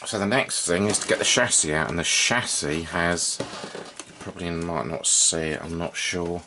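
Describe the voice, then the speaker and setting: A man giving an unscripted, informal hands-on demonstration, thinking aloud in a halting way as he works as a hobbyist repairer. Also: low voice, pushed and bright, slight background noise. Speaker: vintage radio repair hobbyist and video presenter.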